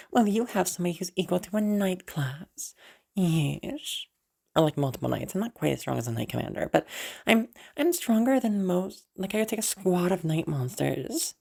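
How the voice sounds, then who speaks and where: A woman's voice: lowish voice, creaky, warm tone, slightly rough, heavily acted. A woman playing a powerful, weary mage in an audio roleplay, rambling casually and boasting to the person she rescued. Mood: tired, boastful. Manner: casual, rambling, teasing asides. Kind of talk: improvised-sounding audio roleplay, chatting to the listener.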